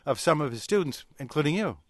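A man hosting a public radio call-in show, speaking in a measured, conversational way. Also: a little high, animated, no room sound.

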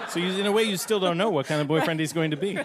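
A man's voice: a little high, racing, a little hiss or hum. A man with an accent hosting a comedy quiz, asking clues and teasing the players dryly. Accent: non-native English. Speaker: quiz co-host and musician. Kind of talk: comedy quiz show banter.

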